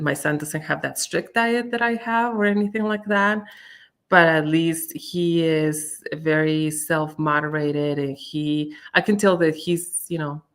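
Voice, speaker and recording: A woman talking candidly as a guest on a live stream, chatty, with many fillers and restarts. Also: deep voice. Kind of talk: casual chat on a live stream.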